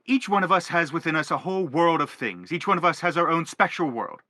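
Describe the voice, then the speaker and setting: A man's voice: on the high side, expressive, a little fast, pushed and bright, dry recording, clean studio sound. A man playing an earnest, self-justifying father in a stage drama, speaking in an emphatic, declamatory way. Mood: pleading. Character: earnest, self-justifying father pleading his family's case. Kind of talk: theatrical stage play acting.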